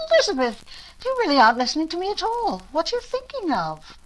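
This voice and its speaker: An actress playing a sweet, lovestruck young gentlewoman in 1940s radio drama style. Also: very high-pitched, huge pitch swings, a little fast, heavily acted, rough recording. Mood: dreamy, girlish.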